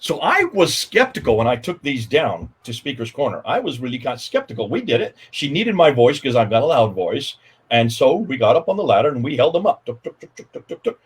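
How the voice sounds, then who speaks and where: A man's voice: medium pitch, sing-song, fairly quick, breathy edge. An older man on a video call from his home study, telling a story emphatically as a guest apologist in a panel talk. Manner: emphatic, repetitive, gesturing a lot. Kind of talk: animated storytelling in a panel discussion. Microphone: video call webcam.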